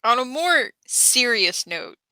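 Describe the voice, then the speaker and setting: A woman's voice: a little high, very animated, slow, bright, forceful tone, dry recording, low-quality recording. A woman playing a tabletop game, casually talking through what her character does.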